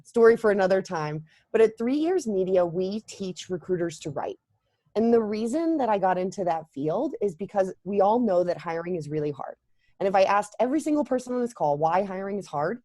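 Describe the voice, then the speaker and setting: A woman's voice: mid-range voice, dark tone, dry recording. A woman introducing herself on a panel over a video call, confident and wry. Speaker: panelist, business owner. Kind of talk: casual self-introduction.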